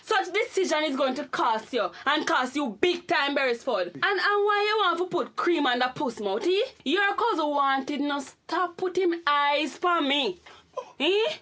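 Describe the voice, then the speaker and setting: A woman plays a scolding Jamaican wife in a radio drama. Her voice is high and bright, and she presses each demand firmly, repeating it with indignation. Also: animated, a little slow. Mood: indignant. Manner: emphatic, repeating demands, tagging "eh?".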